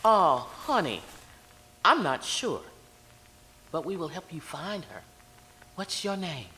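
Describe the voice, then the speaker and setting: An actress playing a defiant, solemn psychic medium in an audio horror drama, speaking with theatrical emphasis. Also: female voice, lowish voice, big pitch swings, slow pace, bright, forceful tone, a little hiss or hum, heavily acted, low-quality recording. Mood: soothing, urgent. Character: Southern woman psychic medium, defiant and solemn, aiding investigators.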